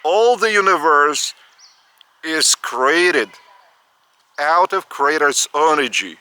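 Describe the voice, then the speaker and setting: Older male spiritual teacher, Lithuanian accent, slow and halting, bright tense tone, outdoors. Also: on the high side, expressive, smooth. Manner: slow, halting, repeats words. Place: outdoors by a school stadium.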